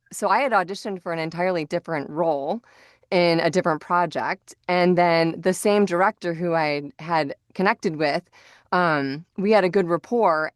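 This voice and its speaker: Crisp, low-voiced woman chatting casually. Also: dry recording, studio quality. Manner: chatty, informal, rambling. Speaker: podcast host. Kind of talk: casual chat.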